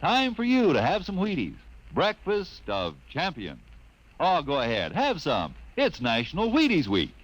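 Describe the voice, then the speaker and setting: Folksy male ad announcer, very lively swoops, dull vintage radio sound. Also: a little slow, a little hiss or hum. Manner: folksy, chummy sales pitch. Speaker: sponsor announcer. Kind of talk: 1950s radio commercial. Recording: dull lo-fi old radio recording.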